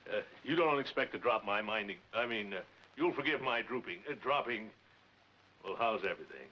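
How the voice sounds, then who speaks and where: Actor as deep, blustering pompous schemer. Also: male voice, expressive, fairly quick, close to an everyday voice. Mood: nervous, oily. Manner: stammering, unctuous, pompous. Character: pompous, blustering, scheming middle-aged male neighbor. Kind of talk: 1940s radio-style film comedy acting. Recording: muffled, hissy 1940s film soundtrack.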